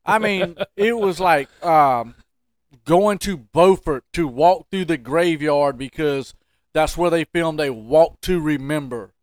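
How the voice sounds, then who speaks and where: A man's voice: a little high, takes it slowly, dry recording. A man co-hosting a podcast, telling stories casually and emphatically in folksy, colloquial language. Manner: colloquial, emphatic, repeats phrases for effect. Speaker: podcast co-host. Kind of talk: casual comic storytelling.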